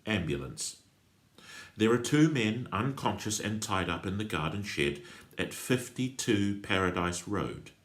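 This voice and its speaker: A man voicing a brisk, urgent, no-nonsense female character from a storybook. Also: on the low side, very animated, voice pushed up. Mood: urgent, firm.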